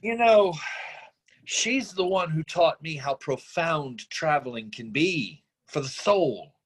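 A man acting a middle-aged, free-spirited father in a staged screenplay reading, earnest, effusive and persuasive, with halting phrases. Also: fairly high pitch, lively intonation, slightly rough, heavily acted, rough recording. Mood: wistful.

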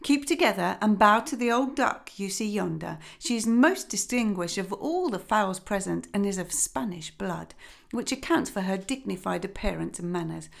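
A woman's voice: medium pitch, lively intonation, normal speed, creaky. Woman doing a fretful, fussy mother duck. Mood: instructive. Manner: fussing, scolding, proud.

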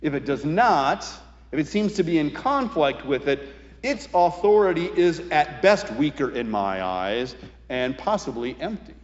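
A man preaching a sermon as a pastor, speaking in a reflective, deliberate way with emphatic pauses. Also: a little high, very animated. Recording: reverberant hall, slightly dull sound.

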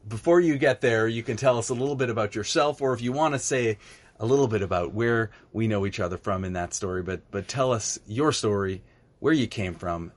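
Man hosting a podcast interview. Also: on the low side. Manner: friendly, prompting guest. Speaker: podcast host.

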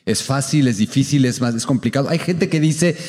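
A man's voice: medium pitch, moderate pace, darkish tone. Mexican male moderator in an echoing hall. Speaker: moderator and music critic. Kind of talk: interviewing on a post-show panel. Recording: long hall reverb.

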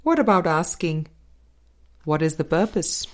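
A woman narrating a spiritual dialogue book as a scripted audiobook reading, with measured, deliberate phrasing and pauses. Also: low-pitched, very animated. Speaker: audiobook reader.

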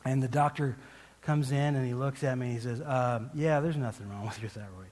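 A man of middling pitch gives a conversational sermon as a guest preacher, telling a personal story. He rambles and restarts often and pokes fun at himself. His voice carries the long reverb of a large church hall. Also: a little fast, a little mellow. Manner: rambling, self-deprecating, frequent restarts and laughs.

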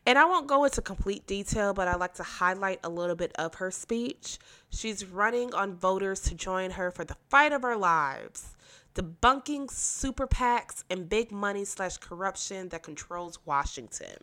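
A woman's voice: mid-range voice, lively intonation, moderate pace, bright, forceful tone. A young woman hosting a casual political podcast while stuffy and nasal from a cold, talking bluntly and with sass. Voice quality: nasal, congested. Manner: blunt, sassy, emphatic. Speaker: podcast host.

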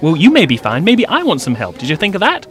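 Man playing a cheeky, animated teenage schoolboy. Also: fairly high pitch, fast. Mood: mock-indignant. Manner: playful, sarcastic, exaggerated. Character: cheeky, bickering seventeen-year-old schoolboy narrator.

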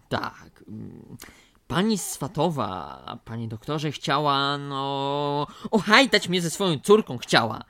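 A woman's voice: low-pitched, very animated, slow, a bit bright, no echo, full character voice. A woman playing a flustered, indignant thirty-year-old bachelor nephew with a stammering, whiny manner in a radio comedy. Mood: aggrieved. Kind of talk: radio comedy drama acting.

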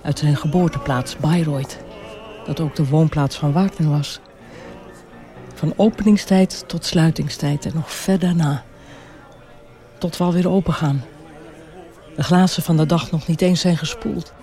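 An actor playing a weary son who works in his father's beer hall, speaking a measured, reflective inner monologue in a radio drama. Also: fairly high pitch, dark tone, close to an everyday voice. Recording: music playing behind the voice. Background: music.

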